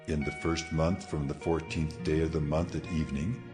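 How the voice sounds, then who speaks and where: Almighty God, very low voice, solemn, authoritative, scripture drama, music behind. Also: male voice, steady tone, moderate pace. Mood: solemn, commanding. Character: God speaking laws, solemn commanding male deity.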